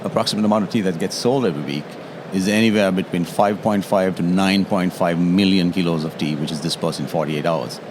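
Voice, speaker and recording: Deep-voiced Sri tea taster. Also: male voice, a little fast. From Sri Lanka. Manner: explanatory, tags statements with "yeah?". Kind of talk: interview at a trade show. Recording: noisy trade show floor.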